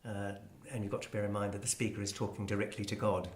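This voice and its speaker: A man presenting an explanatory talk on classical music in a measured, hesitant, scholarly manner. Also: fairly low pitch, level tone. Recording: roomy, echoing space.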